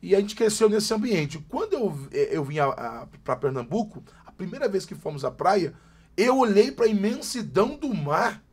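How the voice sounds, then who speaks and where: A man's voice: high voice, very animated, normal speed, a little mellow, breathy edge. Emphatic São pastor in his forties. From Brazil, Greater São Paulo. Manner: emphatic, preacherly repetition. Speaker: pastor, podcast guest. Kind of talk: casual podcast chat.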